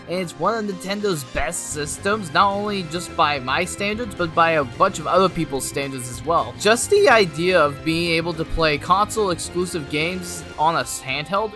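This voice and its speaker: A video game reviewer narrating an enthusiastic scripted review in an animated, exclamatory and emphatic way. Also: mid-range voice. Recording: music playing behind. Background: music.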